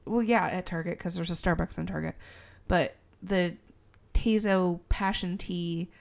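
A woman co-hosting a casual podcast chat, her voice deep and fried from a sinus infection, laughing often and swearing casually. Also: fairly even intonation, measured pace, darkish tone. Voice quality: gravelly. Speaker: podcast co-host.

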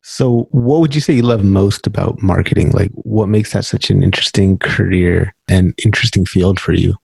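A man's voice: sits low, normal speed, vocal fry, a little mellow, slightly rough, dry recording. A man hosting a podcast, giving an upbeat scripted introduction and then interviewing a guest. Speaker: podcast host.

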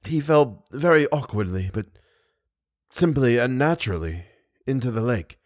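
A man voicing a weak, dying masked man who is lovesick and broken, speaking in halting short phrases and gasping for breath. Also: medium pitch, animated, darkish tone, slightly rough, no echo, studio quality. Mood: faint, grieving.

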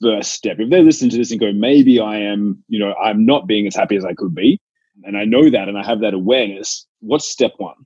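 A man's voice: fairly low pitch, brisk, a little mellow, husky, no echo. A man hosting a podcast interview, asking questions casually and voicing what listeners might think, on a dull lo-fi recording. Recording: dull, lo-fi, dry audio.

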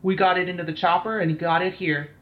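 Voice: medium pitch, fairly even intonation, brisk, close to an everyday voice. An actor playing a shaken, foul-mouthed military team captain in an audio drama, blunt and weary, on a slightly dull recording. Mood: grim, weary. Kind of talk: dramatised incident interview, audio drama acting.

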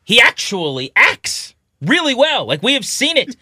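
A man's voice: very high-pitched, wildly swooping pitch, measured pace, sharp tone, slightly rough, no room sound, studio quality. A man hosting a sports podcast, chatting in an emphatic, playfully opinionated way. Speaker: podcast host.

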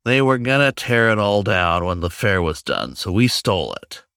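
A man's voice: lowish voice, big pitch swings, moderate pace, bright, forceful tone, smooth, dry recording, clean studio sound, exaggerated voice. A man voicing a wry talking crow in a story, with a blunt, insistent manner.

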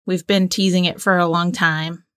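A woman's voice: on the low side, little pitch movement, moderate pace, fairly bright tone, clean tone, no echo, studio quality. A woman co-hosting a casual, playful quiz chat. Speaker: co-host and quiz player.

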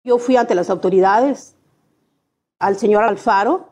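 A woman's voice: mid-range voice, moderate pace, a little mellow. A grieving mother gives an unscripted public speech into a handheld microphone, her voice raw with pain. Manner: raw, pained, insistent.

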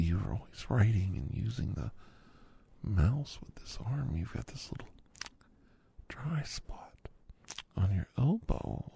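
Low gravelly whispering man. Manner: soft, soothing, reassuring whisper addressed to listener. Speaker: ASMR roleplay massage therapist. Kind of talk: whispered ASMR roleplay.

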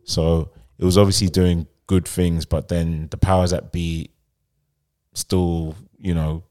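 London guy podcast host, low voice, slangy and opinionated, just chatting. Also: flat delivery, takes it slowly, mellow. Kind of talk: casual podcast banter.

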